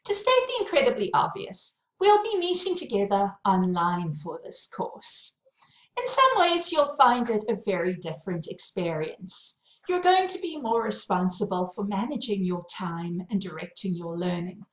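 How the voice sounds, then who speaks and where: South African woman lecturer, lively pitch. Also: moderate pace, echoey room, rough recording. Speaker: university lecturer. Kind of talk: course welcome lesson. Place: home study with bookshelves, indoors.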